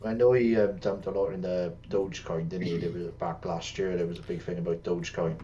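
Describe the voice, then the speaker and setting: A man with a very deep voice explains things casually. His voice comes through a dull line with faint ambience behind it. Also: fairly even intonation, a little fast, warm tone, a little hiss or hum. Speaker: caller.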